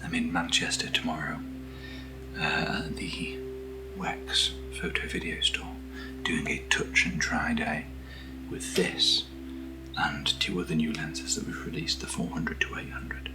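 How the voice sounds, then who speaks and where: A British man speaking softly and breathily in casual ASMR style, unhurried and gently rambling as he hosts. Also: very deep voice, fairly even intonation, rough recording. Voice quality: breathy, whispery. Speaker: host of ASMR unboxing videos. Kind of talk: soft-spoken ASMR, casual chat. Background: underlying music.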